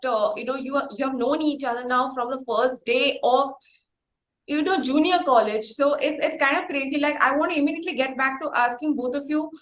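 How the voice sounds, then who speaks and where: A woman of about thirty with an Indian accent, hosting a conversational interview and asking wordy, hesitant questions full of "you know". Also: high-pitched. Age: around thirty. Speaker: podcast host, interviewer. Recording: roomy, echoing sound.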